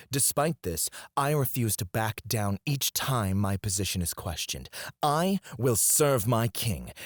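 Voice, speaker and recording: A man's voice: mid-range voice, no room sound, studio quality. A man playing a cocky, disdainful royal alchemist in a scripted fantasy audio roleplay, speaking in a formal, haughty, theatrical way. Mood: accusing, defiant. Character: cocky, disdainful male royal alchemist, sharp-witted and proud. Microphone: close, dry studio mic.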